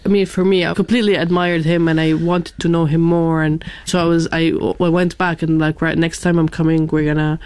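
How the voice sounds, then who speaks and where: A woman's voice: low voice, little pitch movement, a little fast, hardly any pauses, darkish tone, clean tone, no echo. A woman guest in an interview, telling a personal story casually and acting out her own thoughts as quotes.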